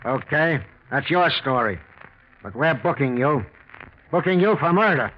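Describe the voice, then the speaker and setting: An American actor playing a brisk, confident police detective with clipped, matter-of-fact questioning in a 1940s radio drama. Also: male voice, fairly high pitch, animated. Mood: hard, accusing. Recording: muffled, faintly noisy 1940s radio recording.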